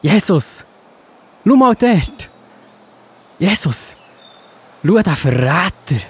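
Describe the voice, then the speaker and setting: A man acts out a loyal, hot-headed disciple from a children's Bible audio play. His husky voice sits high and swings widely, in agitated exclamations. He draws his words out. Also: sing-song, extremely slow, heavily acted. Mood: alarmed, urgent.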